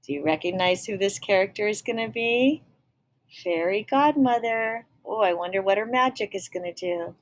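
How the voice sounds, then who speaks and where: A woman reading a picture book aloud expressively, pausing to explain and put questions to young listeners. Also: medium pitch. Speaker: read-aloud storyteller.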